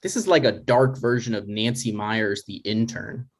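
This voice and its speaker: A man playing a skeptical grown son with a dry, halting delivery in a conversational comedy table read. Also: on the low side, lively intonation. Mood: dry, teasing.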